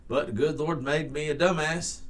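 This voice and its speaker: A man's voice: on the high side, moderate pace. A man in his thirties with a Southern accent, chatting casually on a podcast. He talks bluntly and jokes with crude words. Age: 30s. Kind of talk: casual chat.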